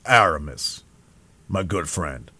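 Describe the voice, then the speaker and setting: Audiobook: a man playing a hearty, boastful swordsman, lower and louder, husky edge. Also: animated, takes it slowly, exaggerated voice. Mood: blustering. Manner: loud, blustering and self-satisfied. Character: boastful, hearty male musketeer Porthos.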